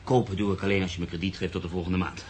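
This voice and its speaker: A man acting in a radio drama as a brooding, sceptical storyteller, husky and gravelly, with a measured, literary, pensive delivery. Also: deep voice, flat delivery, darkish tone, slight background noise, close to an everyday voice. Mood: casual, curious. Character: brooding, sceptical man narrating his own uneasy story. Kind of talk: radio drama narration and dialogue.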